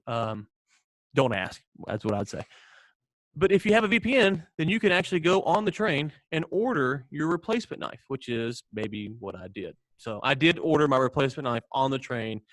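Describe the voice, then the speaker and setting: An American man co-hosts a podcast in a casual storytelling style. His mid-pitched voice rambles along with mock seriousness and frequent joking. The sound is dry and close, with little room in it. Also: very animated, moderate pace, a bit bright, no echo. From United States. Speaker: podcast co-host.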